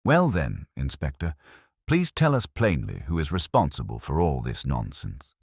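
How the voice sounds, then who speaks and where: A man's voice: very deep voice, wildly swooping pitch, normal speed, husky, no room sound, studio quality, heavily acted. A man voicing a blunt, impatient committee woman in a mystery story, grumbling in a curt, sardonic way.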